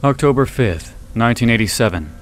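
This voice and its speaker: A man reading scripted analysis narration in a measured, deliberate way with dramatic pauses. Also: medium pitch, lively intonation, a little hiss or hum. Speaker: video essay narrator.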